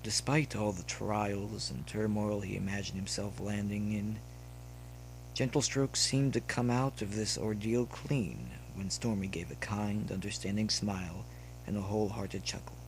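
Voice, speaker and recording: Male narrator for audio fiction, lower register, expressive storyteller, slightly noisy home-style recording. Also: measured pace. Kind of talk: reading fan fiction aloud with character voices. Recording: clearly noisy background with hum.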